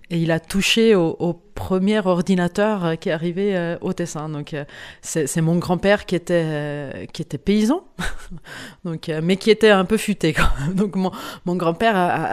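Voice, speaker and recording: Swiss-woman, halting guest talk. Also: on the low side, a little mellow, smooth. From Italian-speaking Switzerland. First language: Italian. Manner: halting, many fillers and restarts, laughs often. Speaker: guest lecturer. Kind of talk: hesitant conversational interview.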